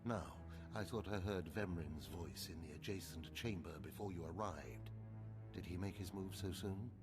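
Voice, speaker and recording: An actor playing a stern, scheming older male mentor in a video game, grave, deliberate and commanding. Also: deep voice, music in the background, close to an everyday voice. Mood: probing. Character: stern, scheming older male Sith overseer and father, controlled.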